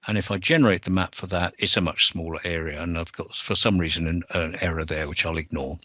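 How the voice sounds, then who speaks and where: A man with a low voice talks through a software demonstration from a screen share, methodical and prone to small self-corrections. The sound is dry and close, with the slightly dull, muffled tone of a conference call. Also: steady tone, fast, no echo. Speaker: software presenter.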